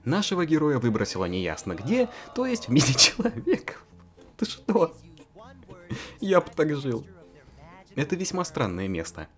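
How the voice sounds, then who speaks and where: Brief: lively, giggly comic narrator with wildly swinging pitch. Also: normal speed, pauses often. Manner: animated, giggly, swings into squeaky put-on voices. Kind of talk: comic amateur visual novel narration.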